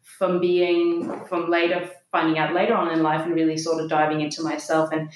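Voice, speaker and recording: A woman's voice: low-pitched, monotone, a little fast, reverberant, low-quality recording. A woman in her thirties from Denmark with a light Danish accent, talking openly and reflectively as an interview guest, with many fillers. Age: 30s. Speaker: podcast guest. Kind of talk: personal interview talk.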